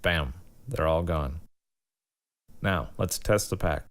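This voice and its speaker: A man presenting a step-by-step software tutorial in an informal, instructional way. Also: sits low, slow pace.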